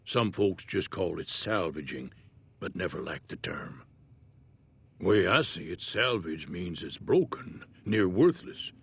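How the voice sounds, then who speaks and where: An actor playing an easygoing older American prospector in a Western-style video game, folksy and laconic in manner. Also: male voice, sits low, animated, slow, vocal fry, fairly bright tone, slightly rough, full character voice. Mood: matter-of-fact. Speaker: game character, townsfolk prospector. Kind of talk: video game character dialogue.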